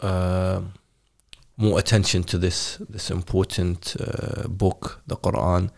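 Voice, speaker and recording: A very low-voiced man with a gravelly edge asks questions in a loose, conversational way. He often stops and restarts his sentences, circling around his point before landing on it. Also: level tone. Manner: halting, many restarts and fillers. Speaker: interviewer. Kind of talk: casual interview.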